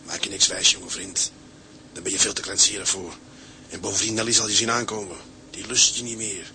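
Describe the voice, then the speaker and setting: An actor playing a cynical, sly inner voice that taunts and needles in a radio drama. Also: male voice, lowish voice, steady tone, a little fast, bright, forceful tone, a little hiss or hum. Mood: mocking, cynical. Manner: mocking, needling.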